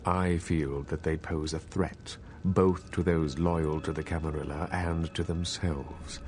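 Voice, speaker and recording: An actor playing a courtly, guarded elder vampire in a video game, speaking in a formal, measured, condescending manner. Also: male voice, a bass voice, fairly even intonation, darkish tone. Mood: patronizing. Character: courtly, guarded elder male vampire clan leader, patronizing and calculating. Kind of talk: video game dialogue acting. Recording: faint background ambient noise.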